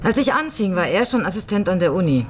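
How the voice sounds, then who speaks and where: An actress in a radio crime play playing a shrewd lawyer with a dry, pointed, matter-of-fact manner. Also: female voice, medium pitch, big pitch swings, quick pace, a little hiss or hum, lightly acted. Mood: dry, sceptical. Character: dry, shrewd woman lawyer with a grown son.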